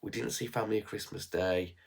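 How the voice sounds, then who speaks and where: A man's voice: fairly low pitch, fairly even intonation, a little fast, vocal fry, slightly rough. A man in his thirties with a West Midlands accent, stuffed up and nasal from a cold, chatting frankly and rambling a bit in a casual vlog. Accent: West Midlands English. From England. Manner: rambling, frank, with fillers and restarts. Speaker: frugal living vlogger.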